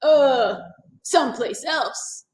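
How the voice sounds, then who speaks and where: A woman voicing a loud, booming male dinosaur in a storybook read-aloud, heard in an echoey room. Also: very slow. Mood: eager. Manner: booming, announcing.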